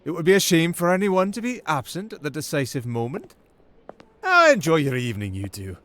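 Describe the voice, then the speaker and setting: A man's voice: a little high, very animated, a little fast, a bit bright. A smug, wildly swooping scheming nobleman. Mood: smug veiled threat. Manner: mock-courteous, condescending, veiled threats. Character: menacing, smug older noble father and schemer. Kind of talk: fantasy political audio drama acting.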